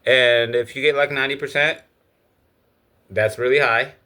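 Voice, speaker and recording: Man, from Egypt, casual monologue, opinionated, mid pitch. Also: fairly even intonation, moderate pace, bright, forceful tone. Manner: opinionated, lecturing. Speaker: speaker giving his views.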